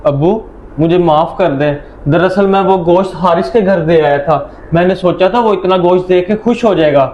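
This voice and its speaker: A man's voice: high-pitched, few pauses, slight background noise, lightly acted. A man playing a sly but obedient teenage son in a moral-story drama, speaking deferentially on a dull recording. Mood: apologetic. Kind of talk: Urdu moral-story audio drama acting.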